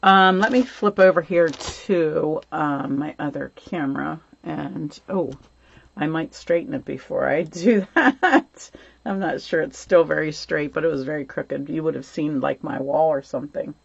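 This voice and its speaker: A woman's voice: sits low, a little slow, gravelly, slightly rough, dry recording, lo-fi sound. A woman hosting a live stamping class from home, chatting casually with viewers and showing craft supplies, her voice a little worn as she says she is losing it. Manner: chatty, greets viewers by name, many ums. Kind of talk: casual live-stream chat and craft demo.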